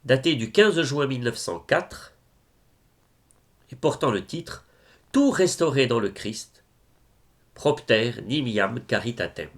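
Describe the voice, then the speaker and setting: A man recites devotional verse with solemn reverence. His mid-pitched voice carries noticeable fry and gravel. He takes the lines very slowly, phrasing each one with measured care. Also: animated. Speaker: reader of religious poetry.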